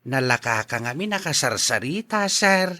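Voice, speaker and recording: A man's voice: medium pitch, sing-song, normal speed, bright, forceful tone, dry recording, studio quality. A man acting a grateful, deferential janitor in a radio drama, with a friendly manner.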